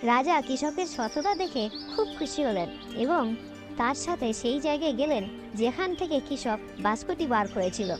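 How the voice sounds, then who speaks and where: A woman narrating a cartoon folktale in a steady scripted storytelling manner. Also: sits high, normal speed, music in the background.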